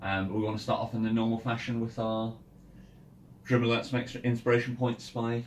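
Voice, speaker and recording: A man's voice: medium pitch, level tone, fairly quick. A man speaking informally as game master, hesitating and repeating words as he casually narrates a tabletop game among friends. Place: indoor room, in-person game session. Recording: roomy, distant mic, noisy background. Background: ambient music and noise.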